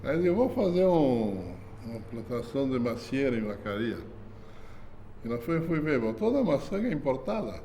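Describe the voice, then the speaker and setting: An older man reminisces in a casual interview. He rambles in a folksy way, tags his sentences with "né?" and chuckles softly. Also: mid-range voice, sing-song, normal speed, slight background noise. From southern Brazil. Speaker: company founder being interviewed.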